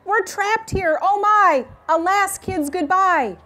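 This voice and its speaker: Woman reading as a high-pitched, panicked schoolteacher. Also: takes it slowly, bright, forceful tone, exaggerated voice. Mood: panicked despair. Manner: melodramatic wailing. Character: panicked, dramatic female schoolteacher in a storybook.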